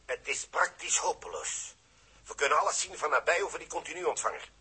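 Anxious official, high sharp voice, very rough, urgent, grave, vintage radio drama. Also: male voice, expressive, a little fast, exaggerated voice. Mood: grave, distressed. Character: distressed official reporting to his prime minister. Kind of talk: 1960s radio drama acting.

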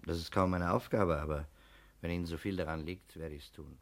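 A courteous investigator in a 1960s radio crime play. His voice is low, and he speaks in a measured, factual way, keeping his manners formal. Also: steady tone. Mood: firm, matter-of-fact. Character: courteous, matter-of-fact male investigator. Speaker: radio drama actor. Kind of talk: 1960s German radio crime drama acting.

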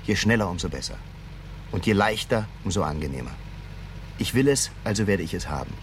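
A man's voice: low voice, animated, brisk, a little hiss or hum. An actor playing a cold, greedy, imperious businessman in a 1970s German radio crime play. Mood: hard, determined. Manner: imperious, curt, commanding. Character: cold, greedy, domineering businessman running a drug scheme.

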